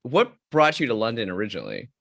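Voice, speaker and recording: Man, podcast host, lowish voice, very expressive pitch, casual, friendly questions. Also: fast talker, vocal fry. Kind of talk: casual interview hosting.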